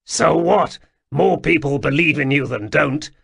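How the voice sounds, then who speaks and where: A man's voice: a little high, measured pace, vocal fry, fairly bright tone, husky, voice pushed up, heavily acted. A man voicing a bitter, hardened warrior who speaks in a curt, scornful way.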